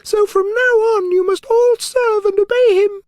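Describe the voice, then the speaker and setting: A tiny, shrill mouse queen, as a man reading a storybook puts her on, her voice squeaking far above his own. She sounds excitable and a little grand. The sound is dry and close. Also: moderate pace, no room sound. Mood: excited squeak.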